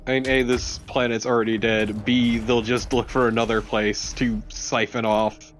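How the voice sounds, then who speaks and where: A man's voice: mid-range voice, little pitch movement, normal speed, soft background music. A man, a young adult, co-hosting game commentary with casual, wry, opinionated and sometimes profane banter.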